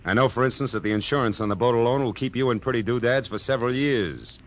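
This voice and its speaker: An actor playing a hard-boiled, sardonic American private detective in 1940s radio crime drama style, with terse, tough talk. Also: male voice, medium pitch, fast talker, close to an everyday voice. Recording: muffled old radio recording, loud music.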